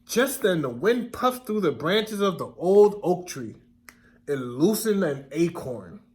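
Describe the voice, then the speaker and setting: Male storybook reader: high pitch, lively intonation, expressive, explanatory classroom delivery. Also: slow. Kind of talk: children's read-aloud narration with questions to listeners.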